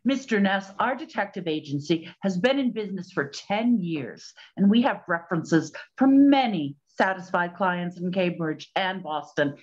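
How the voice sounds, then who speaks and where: A woman's voice: on the low side, lively intonation, normal speed, few pauses. A woman playing a proud, matter-of-fact lady detective of the 1920s in a radio-style play. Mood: firm. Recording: dull, muffled lo-fi sound.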